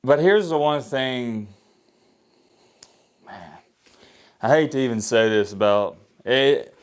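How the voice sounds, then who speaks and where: A young man from the talks college football straight to his viewers in a loose, rambling way. His pitch swings widely, climbing sharply when he makes a point and dropping low and heavy with a sigh when he gets resigned. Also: slow pace, clean tone. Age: 20s. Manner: animated, rising pitch when emphatic, sighing. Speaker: sports commentator and fan vlogger. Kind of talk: rambling casual commentary to camera.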